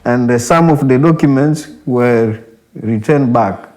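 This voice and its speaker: A young man with a Congolese accent and Swahili as his mother tongue, speaking haltingly and reflectively in English, often repeating phrases. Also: mid-range voice, a little mellow. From Democratic Republic of the Congo. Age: young adult. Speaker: Benedictine monastic brother, interviewee. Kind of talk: reflective personal interview. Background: background music.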